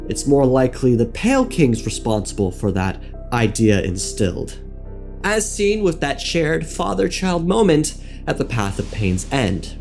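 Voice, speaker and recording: A man narrating a scripted game lore video essay in a wry, theatrical manner with dramatic pauses. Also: fairly high pitch, darkish tone. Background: music.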